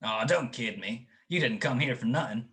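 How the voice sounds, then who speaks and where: Young man acting an excitable, dopey football jock. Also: mid-range voice, normal speed, close to an everyday voice. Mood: suspicious, blustering. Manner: excitable, blustering. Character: dopey young male ex-college football player, impulsive, lovesick. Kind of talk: 1940s radio comedy-mystery acting.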